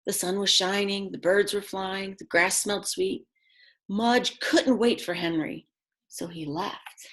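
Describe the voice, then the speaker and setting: A woman reads a children's story at a slow, deliberate pace in a mid-range voice. She leans on key words for drama and drops in simple, chatty remarks for her young listeners. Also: medium pitch, fairly even intonation, a bit bright. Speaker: children's read-aloud storyteller. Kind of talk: expressive picture-book reading with asides to young listeners.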